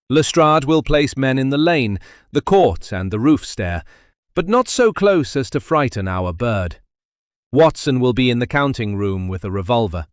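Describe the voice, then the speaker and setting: A man voicing a composed, commanding gentleman detective who speaks in precise, formal sentences in an audiobook story. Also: medium pitch, dry recording, clean studio sound, close to an everyday voice.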